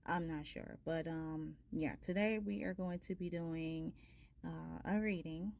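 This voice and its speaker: A woman in her thirties with a soft voice, a tarot reader chatting casually and ramblingly with her viewers. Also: sits low, steady tone, measured pace, gravelly. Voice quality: soft-spoken. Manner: rambling, many fillers. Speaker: tarot reader, channel host.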